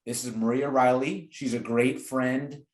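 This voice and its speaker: A man's voice: mid-range voice, fairly even intonation, unhurried, a little mellow. Male podcast host in an echoey room. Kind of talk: conversational podcast introduction and interviewing. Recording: roomy, echoing sound.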